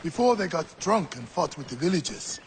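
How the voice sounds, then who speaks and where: A man's voice: on the high side, very animated, brisk, slight background noise. A male actor playing a beleaguered Egyptian villager and loyal friend in a video game, speaking earnestly and expressively. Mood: weary, grave. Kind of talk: dramatic video game acting.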